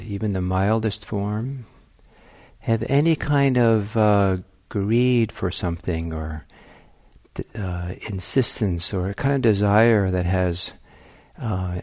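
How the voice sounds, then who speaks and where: A man leads a guided meditation in a fairly low voice. He speaks very slowly, with long pauses and a gentle, searching, reflective manner. The sound is dry, with hardly any room to it. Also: no room sound. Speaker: meditation teacher.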